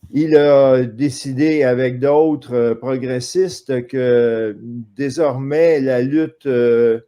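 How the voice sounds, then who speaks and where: Man, Quebec French accent, slow, mellow dark tone, hesitant lecturing guest, video call. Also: medium pitch. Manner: measured, many hesitations, lecturing. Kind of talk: live online interview, explaining history.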